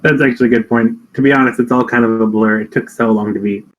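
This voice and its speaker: A man's voice: mid-range voice, steady tone, quick pace, muffled recording, a little hiss or hum. A young man hosting a casual chat, telling stories with lots of "like" and laughing often. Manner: filler-heavy storytelling, laughs often. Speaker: podcast host.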